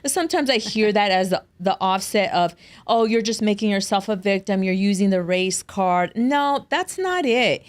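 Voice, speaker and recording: A woman's voice: mid-range voice, lively intonation, moderate pace, hardly any pauses. Emphatic woman podcast host. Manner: emphatic, affirming, speaks to the audience. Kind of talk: conversational interview.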